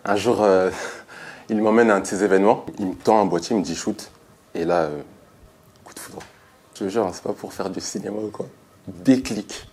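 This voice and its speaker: A man in his thirties from France, a photographer speaking in a colloquial, emphatic and animated way. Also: on the low side, moderate pace, long pauses, breathy edge. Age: 30s. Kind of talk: documentary interview testimony.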